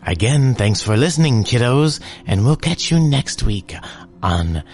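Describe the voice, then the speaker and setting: Brief: playful podcast host man, unhurried, low voice with lively pitch. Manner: playful, spooky-friendly. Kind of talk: casual podcast outro.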